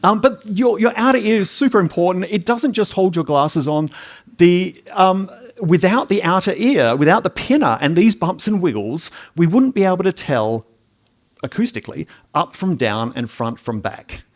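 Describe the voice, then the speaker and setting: Jokey middle-aged New lecturer. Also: male voice, sits high, lively intonation, normal speed. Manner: chatty and jokey, with audience asides and restarts. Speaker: hearing science lecturer. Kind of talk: public science talk to a live audience.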